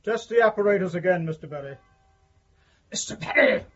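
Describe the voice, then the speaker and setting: A man acting a pompous, irritable official in charge of a hanging in a radio drama, curt and commanding, with a rasping voice. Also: high voice, expressive, fairly quick, muffled recording, very noisy. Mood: irritated, appalled. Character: imperious, irritable official overseeing a hanging, fearful of ridicule.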